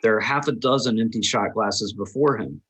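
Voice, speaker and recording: A man's voice: lowish voice, normal speed, a bit bright, breathy edge. A man narrating the stage directions of a screenplay at a table read, in a scripted style.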